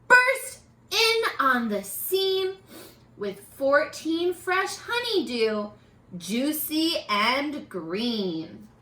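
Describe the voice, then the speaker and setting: A woman reading a picture book aloud like a teacher, expressive and encouraging, putting questions to her young listeners. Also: sits high, drawn-out words. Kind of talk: animated children's read-aloud with questions to listeners. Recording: echoey, roomy sound.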